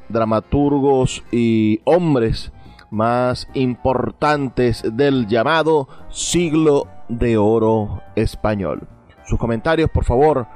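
A man's voice: medium pitch, darkish tone. A man with a Venezuelan accent presenting a radio show in a conversational, earnest way, repeating words for emphasis. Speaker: radio host. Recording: music playing behind the voice. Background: background music.

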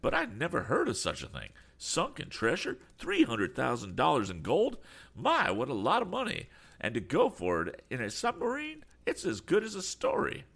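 Man putting on an excitable, eccentric old gentleman. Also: a little high, normal speed, vocal fry, pitched above the performer's own voice, heavily acted. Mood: astonished, excited. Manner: blustery exclamations, astonished questions. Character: eccentric, excitable older gentleman, exclaiming and fretting. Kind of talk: audiobook character voice.

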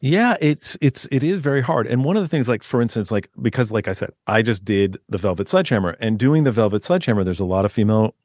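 Deep voiced guy rambling about his writing, lowish pitch, very dry sound. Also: animated, fast talker, few pauses, a little mellow, dry recording. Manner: rambling, many restarts and fillers. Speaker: author guest. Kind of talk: casual interview chat.

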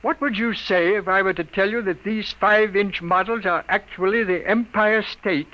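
High, bright brash newspaper editor. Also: male voice, steady tone, poor audio. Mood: dramatic. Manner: brisk, commanding, cocky. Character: brash, wisecracking newspaper editor driving a hard bargain. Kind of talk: 1950s radio drama acting. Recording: old radio broadcast recording.

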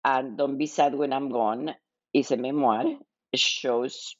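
An older woman with an Argentine Spanish accent, answering interview questions as a guest in a measured, reflective way. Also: rumbling low, steady tone, no room sound, highs cut off. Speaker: guest author, psychologist.

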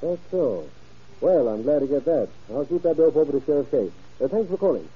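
A brisk police investigator in an old radio crime drama. He fires off casual, clipped questions at a rapid pace. The recording sounds dull and aged. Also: on the high side, very animated. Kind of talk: 1940s-50s radio crime drama acting.

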